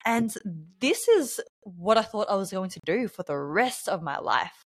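A woman's voice: medium pitch, animated, measured pace. An Australian woman speaking as a podcast guest, telling personal stories in a reflective, articulate, conversational way.

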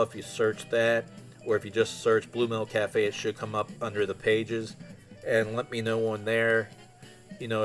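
Casual man podcasting over soft music. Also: fairly low pitch. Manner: informal, conversational. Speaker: podcast host. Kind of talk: casual solo fan podcast chat.

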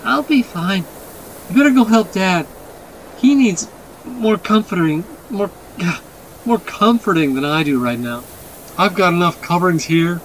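A man voicing an injured young woman in an audiobook, brave and reassuring, with a soft, strained delivery. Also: sits high, lively intonation, measured pace, a little hiss or hum, pitched above the performer's own voice, rough recording. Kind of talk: dramatic audiobook character reading.

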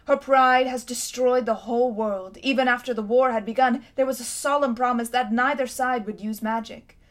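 A woman voicing a cold, imperious tyrant queen in a storybook reading, speaking loudly and grandly. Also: on the high side, lightly acted. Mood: bitter. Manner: haughty, commanding, grand.

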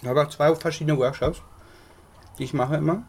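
A man's voice: fairly high pitch, steady tone, fairly quick, a little mellow, a little hiss or hum. A man co-hosting a podcast, chatting casually, joking and rambling, often laughing, with dishes clinking in the background. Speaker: podcast co-host. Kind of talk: casual chat.

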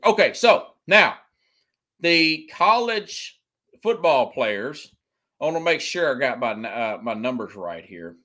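A man with a mid-pitched voice hosts a solo sports show in a loose, conversational style. He reads and reacts to news in a rambling, halting way, often circling back to repeat a point for emphasis. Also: a bit bright. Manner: rambling, hesitant, searching through notes, repeats himself for emphasis. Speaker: sports podcast host.

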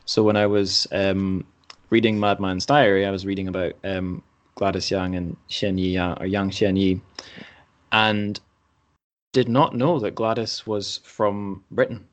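A man's voice: low voice, level tone, measured pace. A man hosting a podcast interview in an informal, self-deprecating, chatty way. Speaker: podcast host. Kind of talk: casual chat, interviewing.

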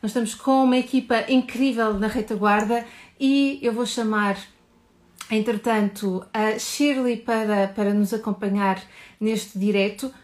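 A woman with a European Portuguese accent hosting a live stream, warm and enthusiastic, speeding up and then slowing down to explain. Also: fairly high pitch, fairly even intonation. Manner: warm, enthusiastic, talks fast and slows to explain. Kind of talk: animated live stream welcome.

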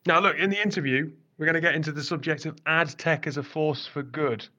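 A British man hosting a podcast interview, relaxed and chatty, often starting with "look". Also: on the high side, brisk, lo-fi sound. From Britain. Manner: relaxed, leans on "look" and "kind of". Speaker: podcast host.

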